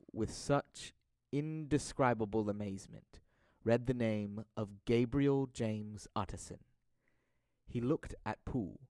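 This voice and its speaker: Young guy narrating audio drama, lowish voice, scripted storytelling, super dry recording. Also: darkish tone, dry recording. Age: young adult.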